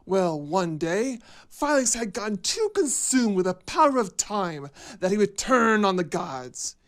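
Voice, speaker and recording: A man playing a gloating villain who schemes to rule the world, in a theatrical, drawn-out delivery for a game cutscene. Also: sits high, big pitch swings, a bit bright, full character voice. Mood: storytelling. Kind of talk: fan game cutscene voice acting.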